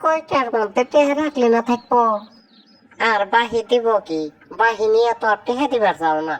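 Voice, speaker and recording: A woman playing a sharp-tongued village woman in a comedy cartoon, curt and nagging, with a Sirajganj Bangladeshi accent. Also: fairly high pitch, expressive, normal speed, close to an everyday voice, poor audio. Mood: scolding.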